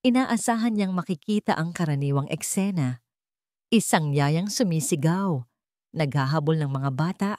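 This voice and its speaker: A woman's voice: on the low side, darkish tone, no room sound, clean studio sound. A woman reading a scripted romantic drama as a story narrator.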